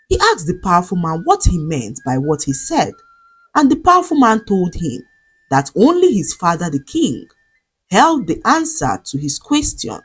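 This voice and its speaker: Nigerian lady telling a folktale, voice a bit husky, really swoopy and dramatic, music behind her. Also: on the low side, low-quality recording. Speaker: storyteller. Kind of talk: scripted folktale narration.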